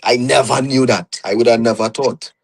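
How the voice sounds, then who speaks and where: A man's voice: mid-range voice, steady tone, moderate pace, vocal fry. A young man with a Trinidadian accent, a podcast guest reflecting on his career in a casual interview, often adding "you know".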